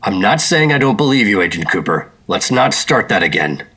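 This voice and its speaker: A man's voice: fairly low pitch, very animated, normal speed, sharp tone, breathy edge, heavily acted. An American man acting a terse, stubborn 1950s secret operative in a radio drama, with a dry, matter-of-fact delivery. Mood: firm, insistent.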